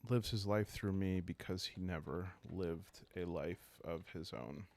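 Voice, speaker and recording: A man calmly improvising a solo roleplaying story for sleepy listeners, thinking aloud in short, halting phrases. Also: deep voice. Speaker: host and solo player of a journaling roleplaying game.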